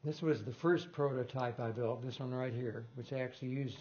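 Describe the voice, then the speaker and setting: A man's voice: mid-range voice, fairly even intonation, brisk, a little mellow, muffled recording. An older man giving an informal technical talk in a lecture room, rambling and correcting himself with small chuckles. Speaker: guest lecturer on loudspeaker design.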